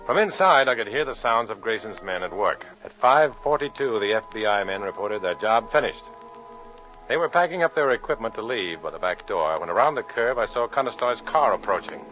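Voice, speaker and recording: An actor with an American accent playing a dry, hardboiled undercover agent in a 1950s radio drama. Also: male voice, on the low side, lively intonation, fairly quick, bright, forceful tone, faint music behind. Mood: hardboiled narration. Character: cool, wry undercover agent posing as a communist. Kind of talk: 1950s radio drama acting and noir narration. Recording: muffled 1950s radio broadcast recording.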